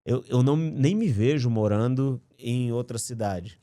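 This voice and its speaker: A man, a podcast guest telling his life story in a relaxed, slangy, earnest way. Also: mid-range voice, measured pace, no echo, clean studio sound. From Rio de Janeiro, raised in Brasília. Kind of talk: casual conversational storytelling.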